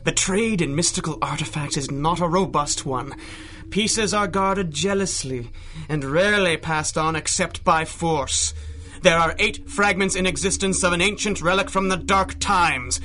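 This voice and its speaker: Radio-play villain: centuries-old sorcerer, highish forceful voice, grandiose, menacing, taunting. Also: male voice. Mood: grandiose, obsessed. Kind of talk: 1930s pulp radio drama acting.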